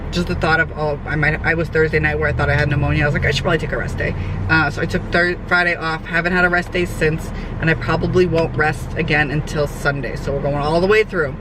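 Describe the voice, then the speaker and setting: A young woman, a fitness trainer, chats casually in a vlog update, her voice hoarse and nasal from a cold. She rambles with lots of fillers. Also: deep voice, little pitch movement, fast talker. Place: sitting outdoors, traffic nearby. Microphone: camera mic. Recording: outdoor camera audio with traffic noise.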